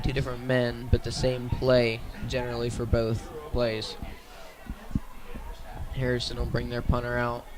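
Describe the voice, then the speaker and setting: Even-pitched man calling a football game. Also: rough recording. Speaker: play-by-play football commentator. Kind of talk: live radio sports commentary.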